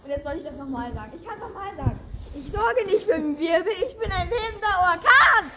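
A woman's voice: very high voice, animated, a little fast, crowd noise. A woman co-hosting a casual podcast guessing game, recorded in an amateur way with slight noise. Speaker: podcast host.

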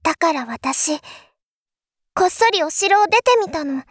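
A woman playing a naive, eager young princess, speaking in a girlish, expressive, confiding way in a Japanese voice drama monologue. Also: very high voice, measured pace, no room sound, studio quality. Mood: excited, confiding.

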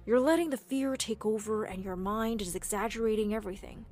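A woman voicing a patient male doctor who explains calmly. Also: mid-range voice, brisk, soft background music, close to an everyday voice. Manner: calm, explanatory.

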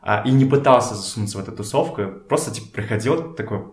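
A young man chatting casually as an interview guest, informal and halting as he searches for words. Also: medium pitch, expressive. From Kazakhstan. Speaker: podcast guest, photographer and art director. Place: indoors in a home. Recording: echoey room.

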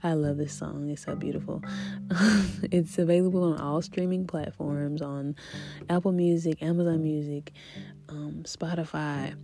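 Deep, gravelly woman podcaster over guitar. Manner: rambling, faith-filled, soft laughs. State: recovering voice after illness. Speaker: podcast host. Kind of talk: casual spiritual podcast chat.

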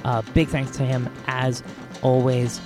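An American man hosting a podcast, chatting casually to listeners in a halting, informal way and often interrupting himself. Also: medium pitch, monotone. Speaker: podcast host. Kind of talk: casual solo chat to listeners. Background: faint music.

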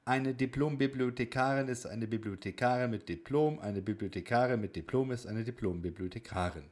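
A man's voice: mid-range voice, a little fast, darkish tone. A man co-hosting a podcast in casual, conversational talk. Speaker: podcast co-host.

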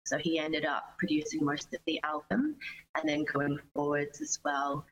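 A woman giving considered, measured answers in a conversational interview as a singer-songwriter guest. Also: low-pitched, flat delivery, slightly rough, poor audio. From Australia.

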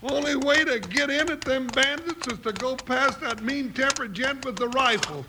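An actor playing a nervous, grumbling comic sidekick in a radio western, folksy and exclamatory. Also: male voice, extremely high pitch, moderate pace. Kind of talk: old-time radio western acting. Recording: noisy, crackly old radio recording.

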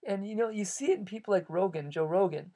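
A man's voice: sits high, fast, dark tone. A man hosting a solo podcast episode, thinking aloud in a rambling, reflective way and often restarting his sentences. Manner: reflective, philosophizing. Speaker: podcast host.

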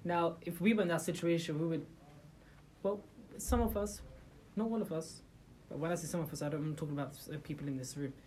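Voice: mid-range voice, steady tone, a little fast, darkish tone, a little hiss or hum. A speaker giving an informal religious talk to a small gathering in a room, asking the listeners rhetorical questions and often restarting sentences. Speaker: religious talk leader for a group.

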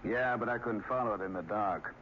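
A man's voice: fairly low pitch, a little fast, close to an everyday voice. Folksy small-town sheriff acted by a man, muffled radio. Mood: grave. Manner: plain-spoken, hesitant, folksy. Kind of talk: 1940s radio drama acting. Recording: dull, hissy old radio recording.

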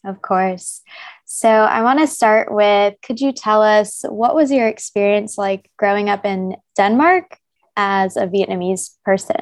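A woman's voice: medium pitch, fairly even intonation, a little slow. A woman hosting an interview show in a casual, enthusiastic, conversational way. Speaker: podcast host and interviewer.

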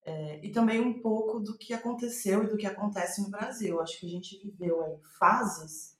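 A woman's voice: mid-range voice, moderate pace. A woman with a Brazilian Portuguese accent speaking reflectively in an interview, thinking aloud and restating her phrases as she goes. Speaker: playwright, podcast guest. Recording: roomy, echoey sound.

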